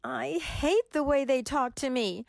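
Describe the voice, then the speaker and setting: A woman playing a lonely single woman who feels mocked by her family, in a radio drama, with a gravelly, slow voice. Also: sits high, a little mellow. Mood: hurt. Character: lonely single middle-aged woman, hurt, self-doubting.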